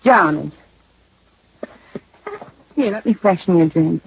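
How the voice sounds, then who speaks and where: A woman's voice: lowish voice, lively intonation, slow pace, gravelly, a little hiss or hum, exaggerated voice. Smug woman suspect, lo-fi radio. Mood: cool, defiant. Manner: taunting, self-assured. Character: smug, defiant, cool female murder suspect. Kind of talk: 1950s radio detective drama acting. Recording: dull, lo-fi old radio recording.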